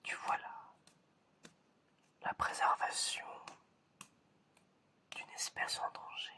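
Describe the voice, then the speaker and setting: An ASMR performer playing an eccentric examiner in a whispered roleplay, speaking slowly and hesitantly and repeating phrases. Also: bright, forceful tone. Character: eccentric examiner testing whether the listener is human.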